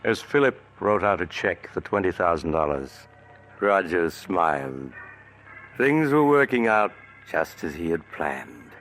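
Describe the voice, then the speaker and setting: A very deep male voice giving measured, ominous narration for a 1940s radio thriller, his pitch still moving expressively. Faint music plays under the old broadcast sound. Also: expressive.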